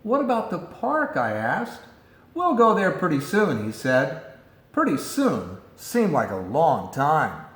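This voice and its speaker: Man, animated swooping intonation, children's story read-aloud, roomy echoey recording. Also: fairly high pitch, slow. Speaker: storybook reader.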